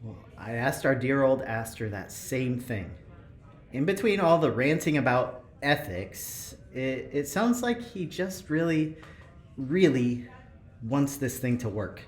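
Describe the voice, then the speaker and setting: Lively, hesitant male engineer, played by an actor. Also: mid-range voice, slow. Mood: explaining, resigned. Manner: hesitant, rambling, nervous laughter. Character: eager, earnest young male prison engineer and test volunteer. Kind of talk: audio drama acting, naturalistic.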